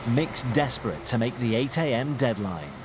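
A man's voice: mid-range voice, lively intonation, normal speed, heavy background noise. A man reading scripted, suspenseful television documentary narration in short punchy phrases. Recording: voice-over over loud music. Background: music.

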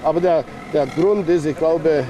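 Male interviewee, sixties, higher pitch, noisy outdoor news recording. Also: unhurried, highs cut off. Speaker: leader of a dig, interviewee. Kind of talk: unscripted interview answers. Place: outdoors by excavator. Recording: noisy outdoor archive news footage, vehicles.

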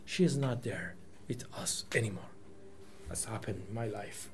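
A man's voice: lowish voice, moderate pace, a little hiss or hum. A man in his forties whose first language is Persian, giving a reflective talk in a small meeting room. He searches for words as he goes, restarting phrases often. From Iran. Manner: thoughtful, searching for words, many restarts and fillers. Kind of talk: reflective lecture. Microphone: table microphone.

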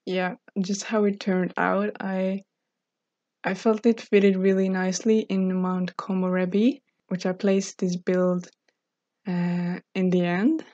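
Young Norwegian woman with mellow voice. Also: lowish voice, little pitch movement, slow pace, no room sound. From Norway. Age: 20s. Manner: friendly, chatty, frequent fillers and light laughs. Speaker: Sims speed-build YouTuber. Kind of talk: casual voice-over narration. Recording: slightly dull, dry home recording.

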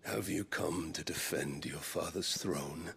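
Mocking older warlord villain, very deep gravelly voice, slow theatrical taunts, game cutscene. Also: male voice, fairly bright tone, slight background noise, exaggerated voice. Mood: taunting. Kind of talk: video game cutscene dialogue.

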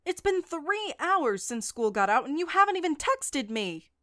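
A stern mother, acted by a woman for an audiobook drama. Her voice is fairly high and swings widely in pitch. She is scornful and distrustful, scolding as she talks. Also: sing-song, normal speed, bright, forceful tone, exaggerated voice. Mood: angry, scolding. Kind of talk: audiobook character acting.

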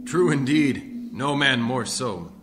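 A man's voice: medium pitch, animated, slow pace, fairly bright tone. Grasping undertaker's man, noisy recording. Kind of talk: radio play acting. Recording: clear background noise.

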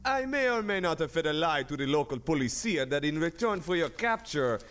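A man voicing a suave, showy card-playing villain in a comic anime parody dub, smooth-talking and theatrical. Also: on the high side, very animated, brisk, fairly bright tone. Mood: suave, taunting.

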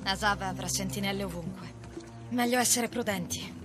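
A woman's voice: mid-range voice, moderate pace, loud music behind the voice, lightly acted. An actress playing a stern, guarded mercenary in her thirties in a video game, talking in a curt, matter-of-fact way. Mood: curt, cool. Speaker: voice actor. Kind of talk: naturalistic video game acting. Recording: loud game soundtrack and effects.

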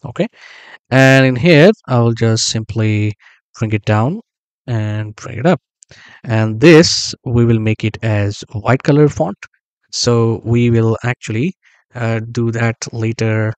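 A man explaining a database build step by step while working on screen, thinking aloud with frequent pauses and small self-corrections. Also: medium pitch, dry recording. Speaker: software tutorial instructor. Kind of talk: screen-recorded tutorial narration.

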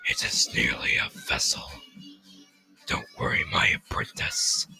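A teenage boy voicing an ancient, sinister robot master from a film in a whispery, voiceless rasp. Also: a little slow, bright, forceful tone, faint music behind.

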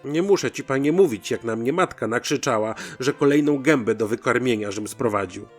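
A man's voice: mid-range voice, a little fast, soft background music, close to an everyday voice. A man voicing a humble, naive peasant in a folk tale, rambling hesitantly in folksy speech with little hums. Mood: earnest, rueful. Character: humble, naive young peasant man pleading his story.

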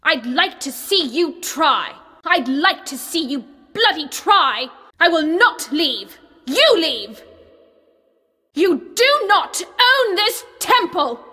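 A woman's voice: very high-pitched, big pitch swings, slow, pushed and bright, full character voice. A woman playing a defiant, imperious video game character, her voice ringing with long reverb. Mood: defiant, angry. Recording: long echoing hall reverb.

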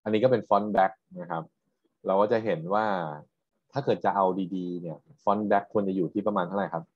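A man's voice: fairly low pitch, fairly even intonation, brisk. A man lecturing on technical material, explaining step by step and often checking with questions. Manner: explanatory, checks understanding with frequent tag questions. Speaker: university lecturer. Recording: dull, roomy lecture recording.

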